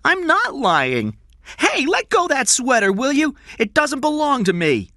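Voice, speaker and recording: A man's voice: very high-pitched, wildly swooping pitch, measured pace, bright, forceful tone, no echo, clean studio sound, pitched above the performer's own voice, full character voice. A man voicing a frightened young boy in an audiobook story, pleading and protesting insistently. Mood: desperate, pleading. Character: frightened, desperate young boy, kidnapped by mistake.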